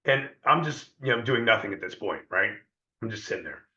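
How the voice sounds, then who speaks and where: A hoarse man giving a live trading lesson in a conversational way, often repeating himself and checking in with viewers. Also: on the low side, animated, a little fast, sharp tone, reverberant, muffled recording. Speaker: trading educator.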